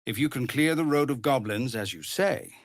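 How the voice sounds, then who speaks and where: A male actor playing a weary, honourable leader of embattled refugees in a video game, grave, measured and formal, with a husky voice that turns gravelly under strain. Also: mid-range voice, lively intonation, dry recording, studio quality, lightly acted. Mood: worried, urgent. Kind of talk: dramatic game dialogue.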